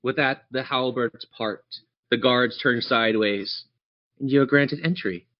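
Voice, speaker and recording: A man's voice: medium pitch, unhurried, dry recording, muffled recording. A man narrating a fantasy tabletop game dramatically as game master.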